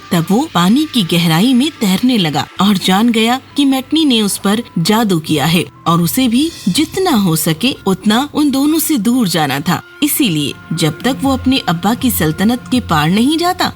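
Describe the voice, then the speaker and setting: A woman reading a scripted fairy tale for children as the storyteller. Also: mid-range voice.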